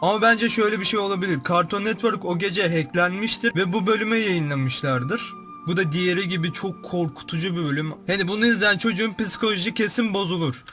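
A man's voice: high voice, a little fast, hardly any pauses, music in the background. A young man giving casual, informal, exclamatory commentary as a YouTube host, describing what he sees. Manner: informal, exclamatory, narrates what appears on screen.

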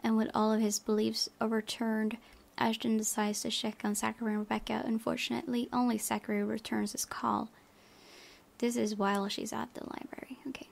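Creaky-voiced young woman reading aloud. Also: on the high side, flat delivery, brisk. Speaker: let's play host. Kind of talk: reading visual novel narration aloud.

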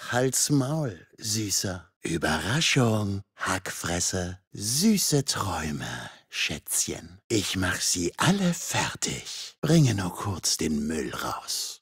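A man voicing a taunting, sarcastic masked killer in a video game, with a husky, gravelly voice and a mocking, menacing manner. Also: sits low, no room sound, studio quality, heavily acted. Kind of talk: game combat voice lines in German dub.

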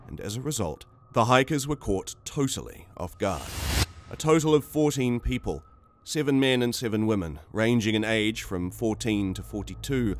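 Low-voiced male documentary narrator. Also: background noise.

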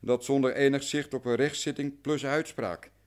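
A man's voice: mid-range voice, steady tone, moderate pace, lightly acted. A man playing an anxious, deferential client in a radio drama, recounting things at length and meticulously. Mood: earnest, resigned. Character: long-suffering male client, anxious, deferential, talkative. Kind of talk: radio drama acting in Dutch.

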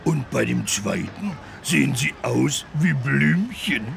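An actor playing a big, good-natured talking elephant with a gravelly, childlike, jolly way of speaking. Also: male voice, medium pitch, expressive, slow, a bit bright, faint music behind, full character voice. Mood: cheerful.

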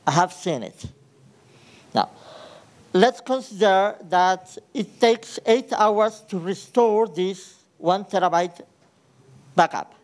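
A man's voice: high-pitched, expressive, slow, long pauses. A man from Ecuador with an Ecuadorian Spanish accent giving a technical conference talk in a large hall, hesitant with many fillers and restarts. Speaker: conference speaker.

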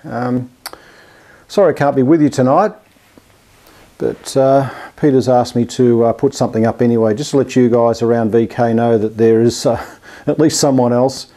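Aussie guy in his 50s, soft mellow tone, chatting off the cuff to camera, kinda rambly and hesitant. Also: medium pitch, fairly even intonation, moderate pace. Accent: Australian. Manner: hesitant, rambling, chuckling, self-deprecating. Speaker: amateur radio and television hobbyist presenting an update. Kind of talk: casual, unscripted video update to camera.

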